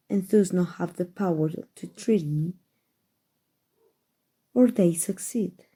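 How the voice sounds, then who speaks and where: A woman playing a powerful, aristocratic vampire in an ASMR roleplay, with formal, measured, protective speech. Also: lowish voice, warm tone.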